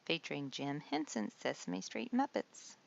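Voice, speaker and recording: A woman's voice: low-pitched, big pitch swings, a little slow, gravelly. A woman narrating a scripted children's storybook read-aloud. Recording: slightly dull, muffled audio.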